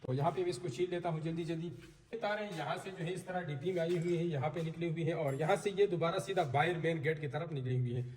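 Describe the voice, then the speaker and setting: A man's voice: fairly high pitch, fast, darkish tone, poor audio. A man giving an informal hands-on tutorial as he works, explaining step by step and repeating himself. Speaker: installer presenting a tutorial. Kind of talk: hands-on tutorial narration while working. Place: on an installation site. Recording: clear background noise on location.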